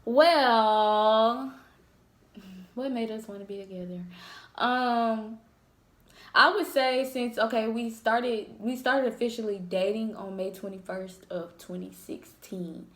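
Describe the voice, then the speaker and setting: A woman, chatting and telling stories in an animated way during a couple's Q&A. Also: a little high, slow. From Jackson, Mississippi. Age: 20s. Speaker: co-host of a couple's channel. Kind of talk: casual Q&A chat, storytelling. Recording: echoey room.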